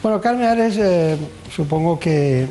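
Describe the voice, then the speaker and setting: A man's voice: high-pitched, lively intonation, a little slow, warm tone. A man hosting a health radio show, introducing topics and interviewing in a conversational way, often repeating his phrases.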